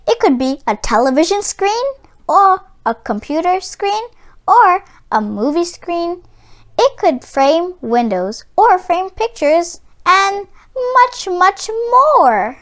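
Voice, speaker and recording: A woman reading a children's book aloud in an expressive storytelling style. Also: extremely high pitch, slow pace.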